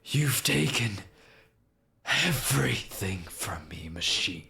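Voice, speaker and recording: Proud, vengeful angel warrior for a game: very slow, grandiose delivery, gravelly edge, light reverb. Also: male voice, medium pitch, sing-song, sharp tone, full character voice. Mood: brooding hatred. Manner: grandiose, archaic, slow dramatic declamation. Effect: added reverb and delay.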